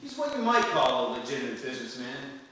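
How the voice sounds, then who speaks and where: An actor playing a wry, smooth-talking hardboiled private detective in the manner of an old radio serial. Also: male voice, mid-range voice, sing-song, fast talker, pushed and bright. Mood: wry narration. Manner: wry, flirtatious, world-weary patter. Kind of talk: 1940s detective radio serial acting, noir narration. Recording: roomy distant hall recording, faint noise.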